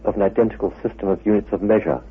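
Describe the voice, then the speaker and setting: Male scholar interviewee, rather low and very husky, thoughtful halting delivery, thin lo-fi audio. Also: fairly even intonation. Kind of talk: unscripted interview talk. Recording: muffled phone-like interview recording.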